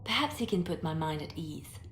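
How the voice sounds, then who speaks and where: An actress playing a composed, secretive woman spymaster in a video game, speaking in a measured, grave way. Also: low-pitched, animated, echoey room, faint music behind. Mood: quietly concerned. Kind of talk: video game character acting.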